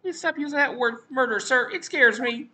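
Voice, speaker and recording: Woman as a shrill, overeager rookie policeman. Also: moderate pace, noisy background, close to an everyday voice. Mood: eager, nervous. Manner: yelps, ends phrases with a dutiful "sir". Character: jittery, overeager, obedient young male police officer. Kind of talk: exaggerated visual novel character voice.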